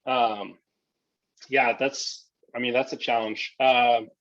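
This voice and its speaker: Low-voiced man thinking aloud, slightly dull audio. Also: fairly even intonation, slow pace, fairly bright tone. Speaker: presenter and software developer. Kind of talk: casual tech talk answering audience questions.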